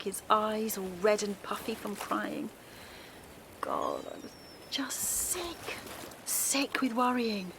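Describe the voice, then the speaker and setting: A woman's voice: a little high, a bit bright, a little hiss or hum. An actress playing a weary, worried middle-aged mother, confiding and emotionally strained in a naturalistic audio drama. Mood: shaken worry. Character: Alice, a worn-out, worried mother of a teenage son.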